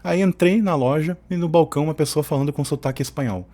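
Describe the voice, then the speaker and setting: A man's voice: fairly high pitch, quick pace, warm tone. A man from hosts an informal commentary. He is opinionated and colloquial, wandering off into asides as he argues his points. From southern Brazil. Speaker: host of an opinion channel.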